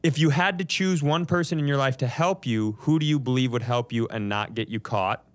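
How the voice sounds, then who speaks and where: A man's voice: mid-range voice, a little fast. A man co-hosting a comedy podcast, chatting casually in a joking, profane way.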